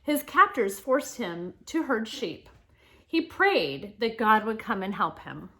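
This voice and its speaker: A woman's voice: on the high side, animated, slow pace, sharp tone. A woman teacher reading a picture book aloud to children, explaining things and speaking to her young listeners directly with casual asides.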